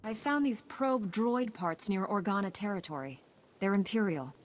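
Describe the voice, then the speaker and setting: A woman's voice: medium pitch, moderate pace, big-room sound, close to an everyday voice. An actress playing a principled, composed woman knight in a video game, speaking earnestly.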